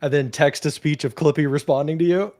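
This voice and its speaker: A man's voice: on the high side, a little fast. A man hosting a casual chat, talking easily and filling his speech with "like" and "you know". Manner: relaxed, chatty, peppered with "like" and "you know". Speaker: host. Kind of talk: casual chat on a video call.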